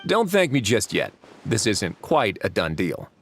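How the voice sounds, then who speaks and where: Wry, lower-pitched, self-assured duke. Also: male voice, wildly swooping pitch, unhurried, faint music behind, exaggerated voice. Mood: matter-of-fact. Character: wry, self-assured adult duke who runs a prison fortress. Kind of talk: video game voice acting.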